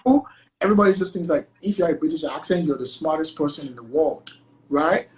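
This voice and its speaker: Young Nigerian-accented man, animated and talkative guest on a video call. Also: a little high, quick pace, a little hiss or hum, low-quality recording. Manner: animated, chatty, many fillers like "like" and "you know". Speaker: guest, entertainment lawyer. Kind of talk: casual interview conversation.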